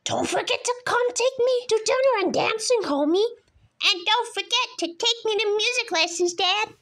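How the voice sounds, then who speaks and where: Woman doing very high cartoon family voices. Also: animated, normal speed, a bit bright, full character voice. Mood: affectionate. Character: cartoon family: fussy mother and her children. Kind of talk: fan-made cartoon voice acting.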